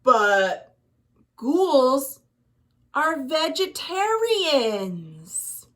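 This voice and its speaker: A woman acts out a big one-eyed ghoul from a children's storybook, fearful yet gentle. The speech is slow, earnest and halting, with a high, swooping pitch. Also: big pitch swings, exaggerated voice.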